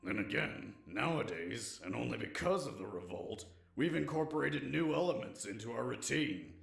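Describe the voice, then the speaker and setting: A man voicing a burly, crooked male pony factory worker in an audio drama, gruff and matter-of-fact, in a roomy echo. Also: mid-range voice, animated, normal speed. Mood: defensive. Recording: roomy echo with faint music.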